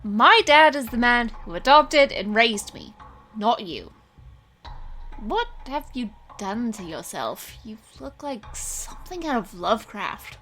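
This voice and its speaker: A woman's voice: on the high side, very animated, takes it slowly, fairly bright tone, faint music behind, exaggerated voice. A woman playing a defiant, sardonic young stage magician, telling her story in a dry, controlled way in an audio drama. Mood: defiant, scornful.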